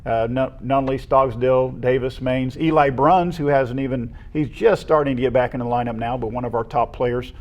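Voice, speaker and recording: An American man co-hosting a local high school sports show, casually running through names and statistics. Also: steady tone, moderate pace, few pauses, a little mellow.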